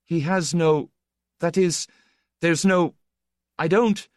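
A man's voice: on the high side, huge pitch swings, takes it slowly, clean tone, no room sound, clean studio sound, voice pushed up, full character voice. A man voicing a meek, naive, earnest young man with a flustered, deferential manner in an audiobook. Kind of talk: audiobook character dialogue.

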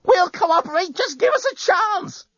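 A man voicing an excitable, sharp-tongued woman in a sci-fi audiobook, full of retorts and exclamations. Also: sits high, moderate pace, slightly rough, no echo, highs cut off, voice pushed up, heavily acted. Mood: panicked.

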